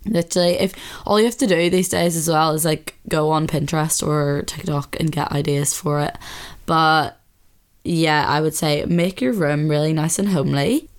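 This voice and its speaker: Low, slightly husky chatty woman. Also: normal speed. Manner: chatty, relaxed, lots of fillers. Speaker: podcast host. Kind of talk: casual chat. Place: her bedroom, sitting on bed.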